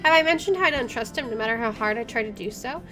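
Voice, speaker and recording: A woman's voice: fairly high pitch, animated, fast. A woman doing a game playthrough, reading story text aloud and adding casual commentary. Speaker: gaming streamer. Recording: game music behind the voice.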